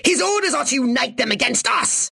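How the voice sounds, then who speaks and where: A man voicing a pompous, short-tempered alien commander in a video game, haughty and precisely worded, with a nasal, sharp-edged delivery. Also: very high voice, big pitch swings, fairly quick, creaky, breathy edge, dry recording, studio quality, exaggerated voice. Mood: scheming, measured. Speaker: mission commander giving orders. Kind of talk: theatrical video game voice acting.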